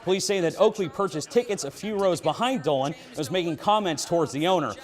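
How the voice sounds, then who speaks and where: A man's voice: sits high, animated, quick pace. A young adult man working as a sports announcer and anchor, delivering commentary and news.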